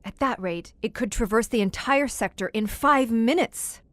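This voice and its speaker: A woman acting an inquisitive young visitor in a horror radio play. She has a slightly high voice and speaks in earnest, proper sentences, as someone easily horrified. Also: moderate pace, a bit bright, dry recording, close to an everyday voice. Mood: curious, concerned. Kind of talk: dramatic audio horror acting.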